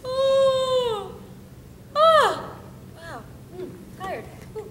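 A swooning starlet. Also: female voice, very animated, extremely slow, a bit bright, exaggerated voice. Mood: dreamy. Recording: echoey hall, rumbling noise.

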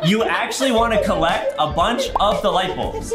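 A man in his twenties hosting excitable gameplay commentary for kids, with exaggerated, theatrical reactions. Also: high voice, reverberant, over loud music. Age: 20s. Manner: exaggerated, theatrical reactions with shouts and drawn-out words. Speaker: gaming video host. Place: indoor room with bare walls.

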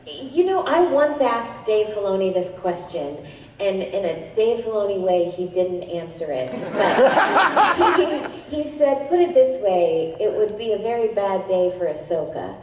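A woman's voice: mid-range voice, very animated, a little slow, noisy background. Woman telling stories in an echoey hall. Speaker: voice actor on convention panel. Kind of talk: panel Q&A answer. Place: large convention hall stage. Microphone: handheld mic heard through hall speakers. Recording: echoey hall recorded from far off.

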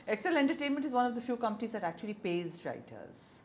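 An older woman from India with an Indian English accent, hosting an interview with brisk, direct questions. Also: a little high, sing-song, a little mellow. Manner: brisk questions, short affirmations. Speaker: interviewer host. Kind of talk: conversational interview. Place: small warm-lit room.

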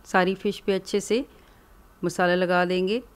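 A woman from Pakistan hosting a cooking channel, calmly explaining each step of a recipe in a voice-over. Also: fairly low pitch, unhurried, smooth. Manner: calm, instructive, explains each step plainly.

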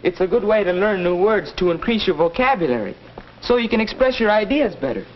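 An actor playing an earnest, articulate young American student son who explains things patiently in a muffled 1940s educational film. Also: male voice, high-pitched, lively intonation, brisk.

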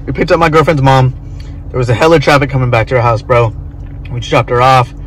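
A young man in his twenties vlogs from the driver's seat, phone at arm's length. He talks fast and loose, peppering everything with bro and offhand swearing. A faint hum of the car and outside traffic sits under his voice. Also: mid-range voice, fairly even intonation. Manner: laid-back slangy, says bro a lot, swears casually. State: tired, feeling off. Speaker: vlogger. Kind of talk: casual vlog talk to camera. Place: inside a parked car. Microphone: phone held at arm's length.

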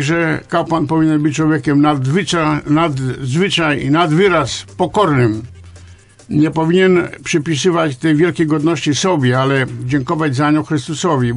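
An older man, a priest, answering in a radio interview in a deliberate, halting, didactic way. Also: a little high, creaky. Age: older, around 70. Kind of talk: interview answers, explaining church liturgy. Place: small radio studio, indoors. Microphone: studio microphone on boom arm.

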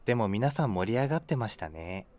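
A man's voice: fairly low pitch, animated, fast talker, a little mellow, close to an everyday voice. An actor playing a vague, socially awkward man on a date in a comedy audio drama, hesitant and noncommittal.

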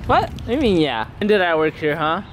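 A man's voice: on the high side, sing-song, brisk, background noise. A young man hosting a casual fitness vlog, chatting in a playful, joking way during a workout. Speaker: vlog host. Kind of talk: casual chat while working out. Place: gym and outdoor court.

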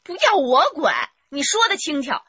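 Shrill, fiery scolding wife. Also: female voice, huge pitch swings, normal speed, pushed and bright, lo-fi sound, full character voice. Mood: nagging, exasperated. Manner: shrill, nagging, emotional. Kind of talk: radio crime drama acting.

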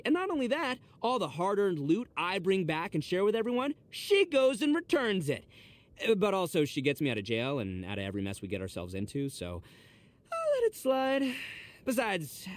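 A male actor voicing a brash, boastful, big-hearted young oni gang boss in a video game, with a cocky, slangy, theatrical delivery. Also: sits high. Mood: grudging. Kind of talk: animated game character voice lines.

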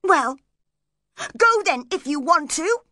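A woman's voice: very high-pitched, expressive, moderate pace, pushed and bright, no room sound, studio quality, voice pushed up, heavily acted. A woman voicing a spoilt, hot-tempered young woman in a storybook, cross, emphatic and imperious. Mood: demanding.

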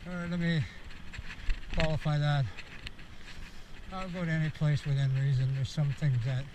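Higher-pitched sixty-year-old man, noisy outdoor recording. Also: level tone, moderate pace. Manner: candid, meandering, self-reflective. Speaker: vlogger. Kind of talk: rambling reflective monologue. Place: outdoors, cycling on a rural road. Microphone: action camera on the bike or rider. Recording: outdoor wind and road noise.

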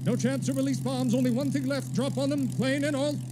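An actor playing a resolute army bomber pilot, giving clipped military reports in radio drama style, over engine drone. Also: male voice, very high-pitched, steady tone, fairly quick, very noisy. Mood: desperate. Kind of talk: 1930s radio drama acting. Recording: loud engine drone behind the voice.

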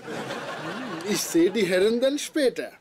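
Shy flirty sitcom nerd, slow and swoopy, noisy soundtrack. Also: male voice, high-pitched, lively intonation. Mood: smitten, flirty. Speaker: voice actor in a sitcom dub. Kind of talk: German-dubbed sitcom dialogue.

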